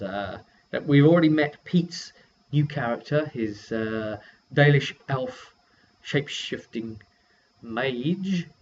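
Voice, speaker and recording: Man, medium pitch, casual rambling recap, halting self-correcting delivery, muffled lo-fi recording. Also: fairly bright tone. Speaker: game master and video host. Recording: dull lo-fi sound with faint noise.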